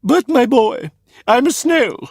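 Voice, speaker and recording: A man voicing an unhurried, placid female snail in a children's storybook, with music behind. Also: very high-pitched, lively intonation, husky, dry recording, clean studio sound, voice pushed up, full character voice.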